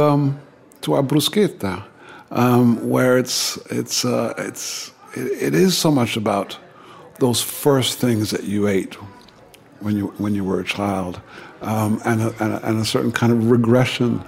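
A man talking as a guest in a relaxed conversation, hesitant and full of restarts. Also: mid-range voice, measured pace, vocal fry. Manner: hesitant, many restarts and fillers. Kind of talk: casual chat.

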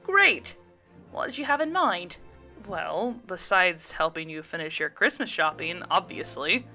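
A woman's voice: fairly low pitch, animated, a little slow, bright, forceful tone, soft background music, exaggerated voice. A woman voicing a friendly man, an eager and teasing old best friend, in an audiobook. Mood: upbeat. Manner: teasing, upbeat.

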